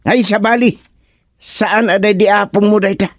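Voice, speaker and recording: An actor playing a bewildered, stubborn village father with an Ilocano Filipino accent, in drawn-out, exclamatory radio comedy-drama style. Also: high voice, fairly even intonation. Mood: alarmed, bewildered.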